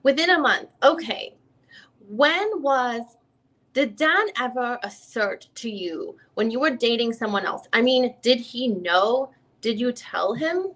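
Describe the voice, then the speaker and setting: A woman's voice: fairly high pitch, animated, takes it slowly, a bit bright, full character voice. A woman playing an informal, probing attorney who questions a witness in a reading of a deposition, often rephrasing as she goes. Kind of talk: dramatic reading of a legal deposition.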